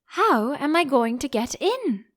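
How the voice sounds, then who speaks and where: A woman's voice: sits high, very animated, a little slow, fairly bright tone, voice pushed up, exaggerated voice. A woman voicing a curious, timid young storybook girl who is earnest, plaintive and easily exasperated. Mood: pleading.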